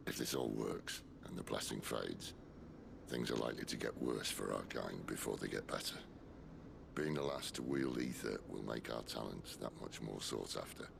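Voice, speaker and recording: A man playing a wry, world-weary middle-aged outlaw leader in a fantasy video game, with a gravelly voice and dry understatement. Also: moderate pace, noisy background, heavily acted. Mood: reflective. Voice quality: very deep gravelly, creaky. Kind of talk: video game cutscene acting.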